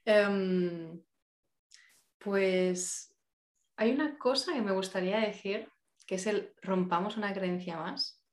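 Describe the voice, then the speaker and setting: A woman's voice: mid-range voice, takes it slowly, long pauses, reverberant. A woman from Spain, a podcast guest speaking in an earnest, encouraging way. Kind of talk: casual podcast interview.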